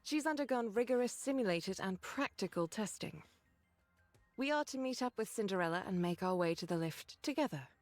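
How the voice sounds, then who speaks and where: A woman acting a composed female squad leader in a game cutscene. She briefs her soldiers formally and with measured authority, in a medium-pitched voice over background music. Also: expressive, a little mellow, no room sound, lightly acted. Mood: composed, explanatory.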